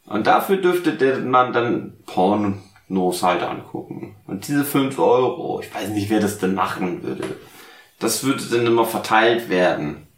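A man co-hosting a podcast, drunk, rambling casually in a halting, earnest way. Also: fairly low pitch, expressive, reverberant, recorded from a distance. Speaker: podcast co-host.

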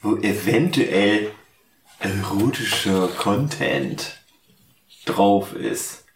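Slow, rambling tipsy man. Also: fairly low pitch, reverberant, slight background noise. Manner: hesitant and rambling, many ums and trailing restarts. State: drunk. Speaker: podcast co-host. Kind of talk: casual drunken podcast banter.

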